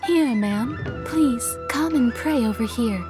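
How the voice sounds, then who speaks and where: A young female shrine attendant for a video game. Her voice is high and her manner is earnest, courteous and helpful. Light music sits behind her. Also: slow. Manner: polite, eager to help.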